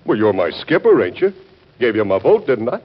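A man's voice: mid-range voice, big pitch swings, a little fast, slightly rough. A man acting a folksy, cheeky sailor down on his luck, talking in nautical slang with familiar, hesitant patter in an old-time radio drama. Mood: cheeky, hopeful. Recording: dull, band-limited old radio recording.

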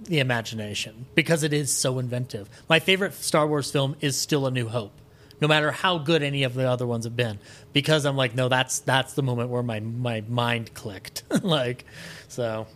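A man with a medium-pitched voice talks casually as a podcast guest. He is animated and opinionated in his delivery, and he swears freely as he shares his views. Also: moderate pace. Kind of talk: casual film discussion.

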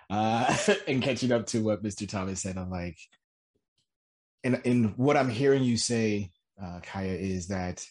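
A man's voice: on the low side, darkish tone. A man hosting a conversational podcast, musing aloud and summing up what others have said. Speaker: podcast host.

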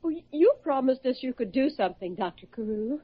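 A woman's voice: sits high, lively intonation, a little fast, breathy edge, no room sound. A middle-aged woman playing an anxious, genteel, protective mother in a 1940s radio drama, fretful and pleading. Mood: distressed. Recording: dull vintage radio recording.

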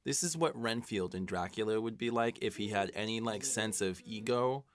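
A man's voice: on the low side, moderate pace. A man co-hosting a podcast, talking in a casual, conversational way. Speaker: podcast co-host, writer. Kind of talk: casual chat.

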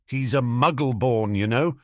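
A man voicing a cocky, mocking teenage boy wizard who talks quickly and showily. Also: mid-range voice, a little mellow, no room sound, studio quality, natural voice. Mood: gloating. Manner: sneering, quick, showy.

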